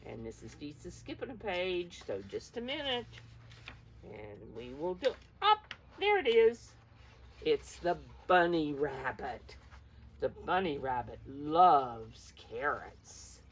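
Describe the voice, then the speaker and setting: A woman's voice: deep voice, big pitch swings, takes it slowly. A woman teacher reading a picture book aloud to preschoolers, encouraging them with simple explanations and questions, emphasising key words, in a slightly noisy recording. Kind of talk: interactive picture-book read-aloud for young children.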